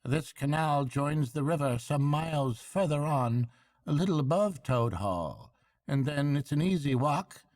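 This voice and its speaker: A man's voice: fairly high pitch, expressive, measured pace, a little mellow, dry recording, voice pushed up. A man doing a hearty, chatty barge woman. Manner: hearty, chatty, falsely respectful. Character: hearty, shrewd stout barge woman, middle-aged. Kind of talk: storybook character voice.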